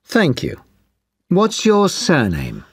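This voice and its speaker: Low husky woman asking slow questions. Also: huge pitch swings, no echo, clean studio sound. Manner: slow, clearly spaced questions. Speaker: speaking test examiner. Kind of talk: scripted exam interview.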